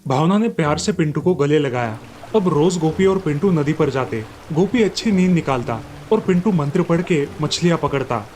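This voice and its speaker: A man's voice: fairly high pitch, expressive, moderate pace, few pauses, a little mellow, a little hiss or hum. A man narrating a scripted fairy tale as a storyteller. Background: light background music.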